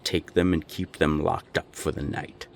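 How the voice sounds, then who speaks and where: A man playing a menacing naga gang boss in an audio roleplay, gravelly and deliberate, with cold, taunting threats. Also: flat delivery, slight background noise. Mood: angry, commanding. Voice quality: very deep, gravelly. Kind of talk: audio roleplay drama acting.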